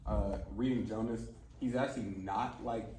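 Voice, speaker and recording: Male actor, lowish voice, slangy casual chat, recorded at a distance. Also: fairly even intonation, normal speed, darkish tone, a little hiss or hum. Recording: echoey room, distant mic.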